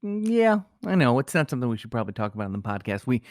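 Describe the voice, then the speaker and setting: A man's voice: medium pitch, very animated, very fast, dry recording, clean studio sound. A man co-hosting a comedy podcast, moving between banter and mock-solemn reading.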